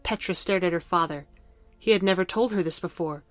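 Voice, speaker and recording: A woman narrates a scripted fantasy story in a fairly low voice. The recording is dry, with faint music underneath. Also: fairly quick, dry recording.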